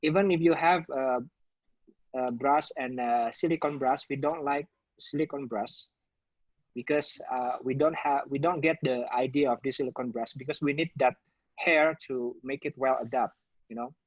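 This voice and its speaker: A man's voice: medium pitch, normal speed, no echo. Man explaining, muffled call audio. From Indonesia. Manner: explains at length with frequent "you know" fillers. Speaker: dentist presenter. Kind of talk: webinar Q&A explanation.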